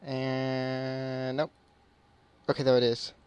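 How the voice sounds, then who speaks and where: Halting young man, clean smooth voice. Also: medium pitch, monotone, darkish tone. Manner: halting, apologetic. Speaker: prank caller. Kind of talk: hesitant phone conversation. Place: online voice call.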